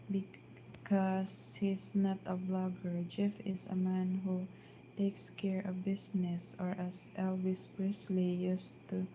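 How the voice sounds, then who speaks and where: Soft-spoken filipina lady chatting on a live stream at home, slow and flat, lots of ums, faint hum behind. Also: fairly low pitch, low-quality recording. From Philippines. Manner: hesitant, many fillers and restarts. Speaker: vlogger. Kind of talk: casual live-stream chat. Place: downstairs at home.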